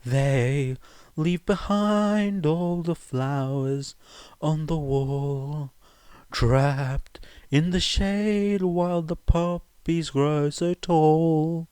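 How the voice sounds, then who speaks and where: A man voicing a defiant young male revolutionary leader in a fan fiction audiobook, with grandiose, drawn-out, theatrical delivery. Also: fairly high pitch, darkish tone, clean tone, no echo, pitched above the performer's own voice. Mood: defiant singing.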